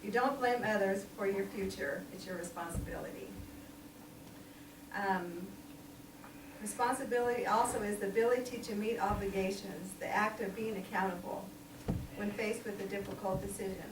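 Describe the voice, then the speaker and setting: A woman's voice: medium pitch, normal speed. Chatty sixty-year-old woman preaching, echoey. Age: 60s. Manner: chatty, laughs often, um-filled. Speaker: lay preacher. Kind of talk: informal church sermon. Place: small church hall. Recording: echoey room, distant mic, noisy.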